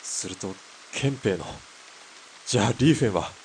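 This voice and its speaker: A man acting a gruff, cocky young army sniper turned killer in a Japanese audio drama, blunt and curt. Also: on the low side, expressive, moderate pace, a little hiss or hum, poor audio. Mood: blunt, determined. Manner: blunt, curt, tough-talking.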